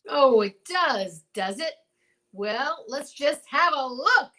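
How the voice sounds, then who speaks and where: A woman's voice: high voice, animated, slow, pushed and bright, full character voice. A woman playing a determined, blustering male marshal in an old-time radio serial, with an emphatic, folksy, commanding delivery.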